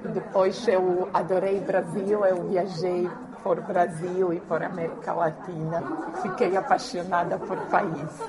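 A woman from Croatia speaks as an interview guest in somewhat low-pitched, careful, halting Portuguese that is not her first language. Now and then she slips into Spanish words. A crowd murmurs clearly in the background. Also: little pitch movement, very noisy. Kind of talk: casual interview chat.

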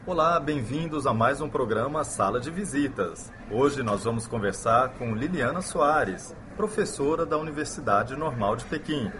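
A man with a Brazilian Portuguese accent hosting a relaxed interview, asking friendly questions. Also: mid-range voice, moderate pace, hardly any pauses. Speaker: host. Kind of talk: casual interview. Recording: noisy background.

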